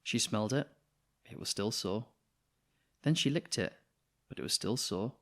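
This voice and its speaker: A man reading a children's picture book aloud in an expressive storytelling style. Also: fairly low pitch, normal speed.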